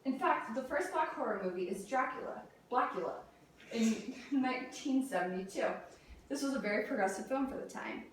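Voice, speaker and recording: Young female student speaker, higher-pitched, presenting a rehearsed persuasive talk in a very reverberant room. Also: animated, moderate pace. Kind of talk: prepared persuasive classroom speech. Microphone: distant camera or room mic. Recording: very echoey room, distant mic, faint noise.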